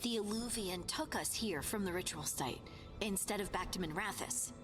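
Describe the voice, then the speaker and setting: Woman playing an earnest fantasy scout, mid-pitched voice. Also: normal speed, music in the background, close to an everyday voice. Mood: alert, practical. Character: earnest, concerned dwarven woman scout, friendly adventurer. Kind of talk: fantasy video game acting.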